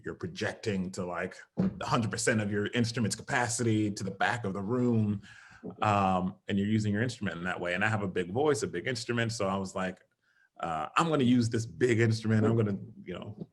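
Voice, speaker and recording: A man being interviewed over a video call, talking casually and animatedly, laughing often and acting out what he said. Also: lowish voice. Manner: animated, laughs often, quotes himself in lively bursts. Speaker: interview guest, stage actor and singer.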